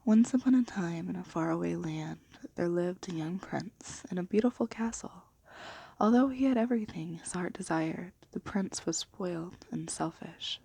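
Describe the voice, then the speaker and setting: A woman speaking in a gentle, unhurried, hushed and breathy ASMR style, soft-spoken and half whispered, as for bedtime stories. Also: fairly low pitch, no echo. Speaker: ASMR video host and storybook reader.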